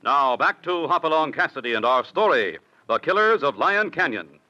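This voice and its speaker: A man announcing and recapping a radio western in a measured, scripted style. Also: on the high side, big pitch swings, bright, forceful tone. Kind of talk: scripted narration. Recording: lo-fi vintage radio recording with music.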